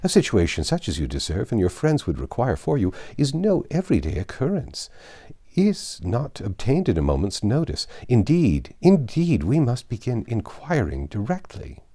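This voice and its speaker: A man's voice: mid-range voice, huge pitch swings, moderate pace, dark tone, pitched above the performer's own voice. A man voicing an officious, self-important married woman in a classic novel reading, pompous, gushing and patronising. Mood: insistent, patronising.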